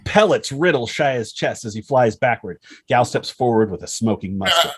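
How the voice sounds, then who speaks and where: A man's voice: mid-range voice, lively intonation, moderate pace, husky, no room sound, studio quality. A man reading stage directions as dramatic scripted narration at a table read, in punchy phrases. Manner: punchy, present-tense action reading.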